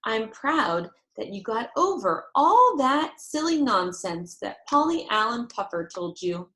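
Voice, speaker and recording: A woman's voice: a little high, sing-song, a little slow, sharp tone, exaggerated voice, rough recording. A woman voicing a young girl's mother in a storybook, affectionate and lightly exasperated, teasing and reassuring.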